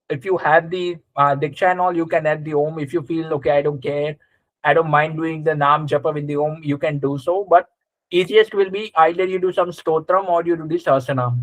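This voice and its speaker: A man's voice: on the high side, brisk, dry recording, poor audio. A man teaching a live interactive class, explaining conversationally and checking understanding with short questions. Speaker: astrology teacher.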